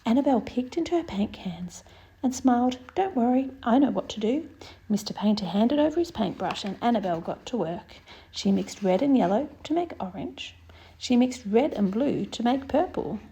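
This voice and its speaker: A woman's voice: a little high, expressive, moderate pace, dark tone, poor audio. A woman presenting story time, expressively reading a picture book aloud and speaking directly to young listeners. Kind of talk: read-aloud picture book narration for children.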